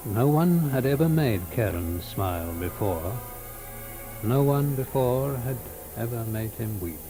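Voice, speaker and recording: A man giving a dramatic literary narration of a story in a solemn, measured manner. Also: mid-range voice, expressive, over music, rough recording.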